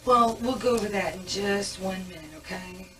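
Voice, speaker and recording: A woman's voice: medium pitch, normal speed. A woman detective running a booking interview in a matter-of-fact, procedural way. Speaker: police detective. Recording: distant mic with music overlaid.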